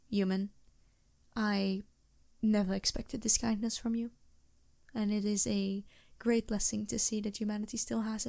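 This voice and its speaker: A woman voicing a polite, anxious and gentle vampire with formal, earnest phrasing in a fantasy audio roleplay. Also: medium pitch, gravelly, lightly acted. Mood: grateful. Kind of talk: fantasy ASMR roleplay acting.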